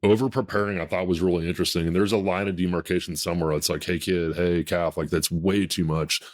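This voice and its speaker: Deep-voiced man in his fifties, blunt. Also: fairly even intonation, fast talker. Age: 50s. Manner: blunt, emphatic, self-assured. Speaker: podcast host. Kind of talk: casual podcast conversation.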